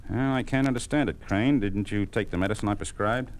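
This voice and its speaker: An American man playing a principled, steady physician in a 1950s radio drama, on an old recording. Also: on the low side, fairly quick, a little hiss or hum, close to an everyday voice, poor audio. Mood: concerned, professional. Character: principled, steady male physician in private practice.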